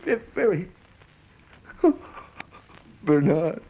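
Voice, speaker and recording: A man's voice: high voice, sing-song, drawn-out words, dark tone, slight background noise, heavily acted. An actor playing a weary, proud older American salesman in a stage-style radio drama, stammering and rambling with desperate emphasis. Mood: forced cheer. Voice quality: husky, gravelly. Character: weary ageing travelling salesman, proud, desperate, self-deluding.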